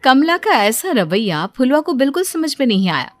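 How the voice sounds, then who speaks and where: A woman's voice: high-pitched, big pitch swings, moderate pace. A woman narrating a scripted cartoon story as the storyteller. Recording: faint music bed under voice.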